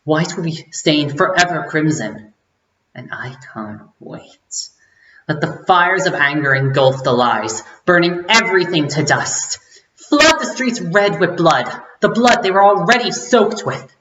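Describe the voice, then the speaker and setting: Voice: medium pitch, expressive, unhurried, a bit bright. Bitter revolutionary, played by an actor, theatrical and scornful monologue delivery, muffled sound in an echoey room. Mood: vengeful, gloating. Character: bitter vengeful rebel gloating at a powerful leader. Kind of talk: dramatic stage monologue.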